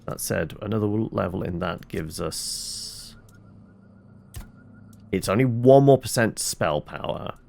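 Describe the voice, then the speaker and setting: A male game streamer with a lowish, very animated voice that swings up and down. He talks casually at an unhurried pace, thinking out loud as he plays, with faint music behind him. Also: gravelly. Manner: musing, asks and answers own questions. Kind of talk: casual gameplay commentary, thinking aloud.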